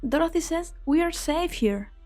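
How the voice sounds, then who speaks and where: Lady doing an earnest anxious young female doc, high swoopy voice. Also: animated, moderate pace, darkish tone, faint music behind. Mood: worried, pleading. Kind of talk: fan dub of a game story.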